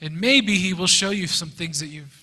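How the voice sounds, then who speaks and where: A man teaching a Bible class in English, speaking earnestly in short phrases and pausing so an interpreter can translate. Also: high-pitched, pushed and bright. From United States. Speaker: guest teacher. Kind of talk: lecture given through an interpreter. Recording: reverberant hall.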